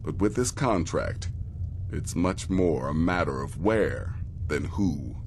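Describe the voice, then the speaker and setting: An actor playing a grave, darkly courteous assassin in a video game, speaking in a measured, wry and formal way. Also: male voice, a bass voice, lively intonation, darkish tone, a little hiss or hum, exaggerated voice. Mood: measured, instructive. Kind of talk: video game character acting.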